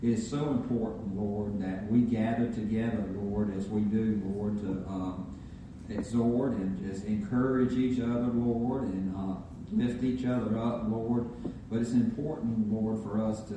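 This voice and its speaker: A pastor leading a spoken prayer, repeating "Lord" between phrases and pausing on fillers. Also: male voice, on the low side, steady tone. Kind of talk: spoken prayer in a church service. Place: church sanctuary. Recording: very echoey hall, distant mic, background noise.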